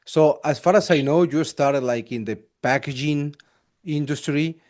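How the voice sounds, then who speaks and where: Immigrant podcast host, quick and stumbling. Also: male voice, fairly high pitch, a little mellow. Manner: quick, stumbling, non-native phrasing. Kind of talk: casual interview hosting.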